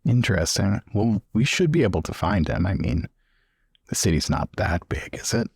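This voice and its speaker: A man's voice: sits low, sing-song, moderate pace, darkish tone, slightly rough, no echo, studio quality. A man improvising casual in-character dialogue as a player in a tabletop roleplaying game.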